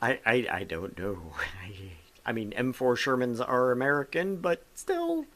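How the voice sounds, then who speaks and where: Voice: mid-range voice, sing-song, measured pace, a bit bright. A host giving casual, sweary commentary while playing through a game. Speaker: host reading a game aloud.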